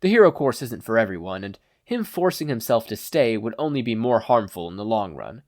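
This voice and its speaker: A podfic reader voicing a calm, dutiful teenage boy student who speaks in a measured, formal way. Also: medium pitch, dry recording, studio quality, natural voice.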